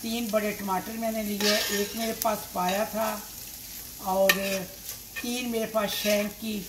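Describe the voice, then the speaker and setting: A woman's voice: medium pitch, little pitch movement, a little slow, very noisy. A woman in her sixties with a Pakistani accent, explaining cooking steps as she works, unscripted, often repeating herself. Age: 60s. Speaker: home cook, cooking show host. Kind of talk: step-by-step cooking instruction while working. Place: home kitchen at the stove, indoors. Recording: echoey kitchen, loud sizzle and pot clatter. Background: sizzling, stirring, clinking pots and dishes.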